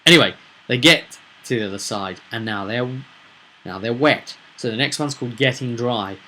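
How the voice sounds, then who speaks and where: A man's voice: medium pitch, moderate pace, sharp tone, a little hiss or hum. A man talking casually as a game master, rambling through an explanation with emphatic points and rhetorical questions. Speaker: game master recapping a tabletop roleplay session. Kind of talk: casual explanatory vlog monologue.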